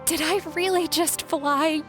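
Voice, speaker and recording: Timid young game character, dreamy, hesitant, unhurried, very high pitch, music in background. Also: female voice, steady tone. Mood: awestruck. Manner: hesitant, wonderstruck. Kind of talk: video game voice acting.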